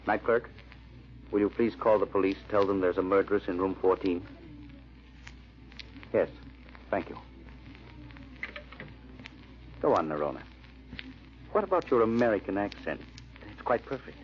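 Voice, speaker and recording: A man's voice: lowish voice, animated, moderate pace, pauses often, close to an everyday voice. An actor playing a grim, resolute man confronting a killer in a 1940s-style radio drama. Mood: stern, controlled. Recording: muffled, noisy old-time radio recording.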